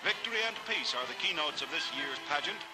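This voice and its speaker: Loud music plays under a vintage 1940s newsreel soundtrack. Over it an American man with a fairly high voice reads scripted narration. His delivery is formal and declamatory, as an announcer addressing a cinema audience. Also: expressive, a little fast.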